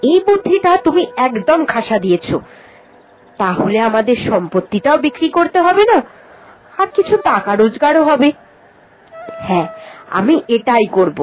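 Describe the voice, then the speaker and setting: A young village wife in a cartoon, affectionate toward her elderly aunt. Her voice is fairly high and swings a lot in pitch. Faint music plays behind. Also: low-quality recording. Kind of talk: animated cartoon voice acting.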